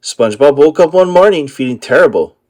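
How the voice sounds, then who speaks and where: A man narrating a children's picture book aloud in an expressive storytelling style. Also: fairly high pitch, a little fast, faint music behind.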